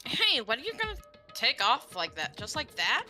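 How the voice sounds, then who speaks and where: A woman chatting casually and reading game lines aloud in an animated way. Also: on the high side, normal speed, soft background music. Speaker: gaming video participant.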